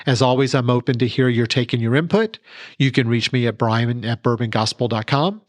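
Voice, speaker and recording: A man presents a scripted how-to segment for a podcast. His voice sits at a medium pitch, and the sound is close and dry, with no room around it. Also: brisk, no echo. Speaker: podcast cocktail segment reporter. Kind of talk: instructional scripted narration.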